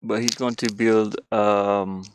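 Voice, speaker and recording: A man chatting casually on a livestream, rambling and halting, often putting down his own efforts. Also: fairly low pitch, level tone, slight background noise. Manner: halting, rambling, self-deprecating. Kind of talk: casual livestream chat while sketching.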